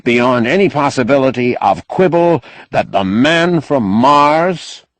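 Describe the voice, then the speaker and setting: Man acting a pompous, indignant doctor, slow-spoken. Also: mid-range voice, animated, no echo, voice pushed up. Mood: pleading, insistent. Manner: pompous, ornate, forceful oratory. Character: wily, indignant, eloquent male doctor, master of his house. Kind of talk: audiobook character acting.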